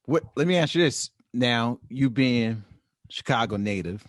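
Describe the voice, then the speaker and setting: A man's voice: mid-range voice, lively intonation, measured pace, no echo, studio quality. A man from New York with a New York accent, hosting a casual podcast interview with frequent agreement and laughs. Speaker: podcast host.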